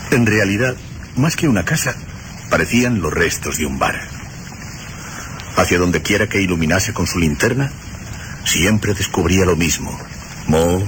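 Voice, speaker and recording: A man narrating a scripted suspense radio play with dramatic, deliberate phrasing. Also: sits low, noisy background.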